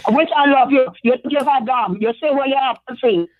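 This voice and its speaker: A woman from Trinidad with a Trinidadian accent, calling in to a talk show and speaking hesitantly with many pauses. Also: high-pitched, no room sound. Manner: hesitant, lots of ums. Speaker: caller. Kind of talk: phone call-in. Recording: phone line.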